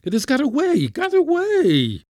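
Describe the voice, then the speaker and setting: A man's voice: medium pitch, big pitch swings, unhurried, darkish tone, breathy edge, no room sound, studio quality. A man voicing a pompous, short-tempered Latin schoolmaster in a storybook reading, scolding and giving orders. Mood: furious. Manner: scolding, commanding, ranting. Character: irascible Latin schoolmaster uncle, pompous and furious.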